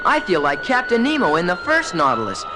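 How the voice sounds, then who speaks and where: Cartoon boy hero: very high voice, curious, excitable, lively intonation, classic adventure serial feel. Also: a little fast. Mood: excited wonder. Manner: wide-eyed, excitable. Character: eager, curious young boy adventurer, scientist's son. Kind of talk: vintage cartoon adventure voice acting. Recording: music playing behind the voice.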